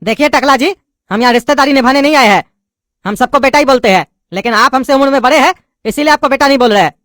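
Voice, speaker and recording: A woman's voice: sits high, fast, fairly bright tone, no room sound, studio quality. A woman voicing an impatient male teacher who scolds and mocks his students, with a lilt. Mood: scolding. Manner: scolding, sarcastic.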